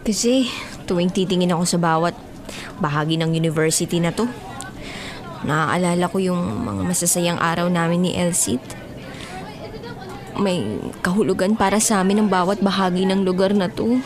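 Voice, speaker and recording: A woman's voice: lowish voice, steady tone, normal speed, pauses often, lightly acted. A woman playing a heartbroken, remorseful young college student in a Filipino radio melodrama, with a plaintive delivery. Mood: dejected. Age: young adult. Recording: crowd chatter behind the voice.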